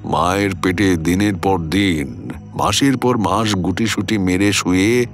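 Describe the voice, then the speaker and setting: Radio play: brooding middle-aged storyteller, low-pitched, expressive, rhetorical, over a music bed. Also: male voice, slow pace. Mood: melancholy, philosophical. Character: older introspective gentleman recounting his uneasy past, philosophical. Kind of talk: dramatic first-person storytelling in a radio play.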